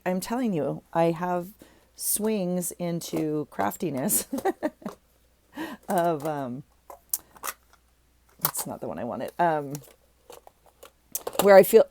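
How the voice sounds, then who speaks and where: A woman's voice: low-pitched, vocal fry, dark tone, slight background noise. A middle-aged woman with a stuffy nose, chatting casually as she works on a craft project, thinking aloud with many ums and restarts. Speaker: craft vlogger.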